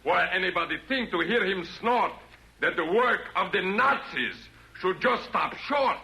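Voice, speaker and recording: Casting: a blustering infernal tyrant, very sharp, animated, scornful, vintage radio drama. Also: male voice, high voice, a little slow, vocal fry, heavily acted, low-quality recording. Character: belligerent, scornful male tyrant demon. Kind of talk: 1940s radio acting. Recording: old 1940s radio broadcast.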